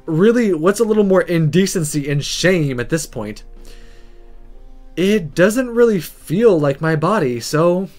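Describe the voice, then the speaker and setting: A man's voice: a little high, expressive, a little slow, darkish tone, faint music behind. A man voicing a flustered, sarcastic former guy now in a girl's body, in a visual novel, with a hesitant, embarrassed, wry delivery. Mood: uneasy, resigned.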